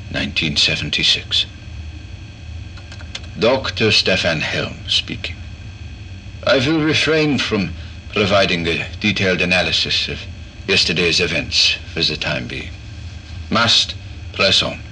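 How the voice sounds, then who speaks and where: An eccentric doctor reading his logs, detached and clipped, sharp tense voice, faint hiss. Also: sits low, full character voice, rough recording. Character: cold eccentric male doctor running experiments. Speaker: experimenter narrating logs. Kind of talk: horror audio drama acting.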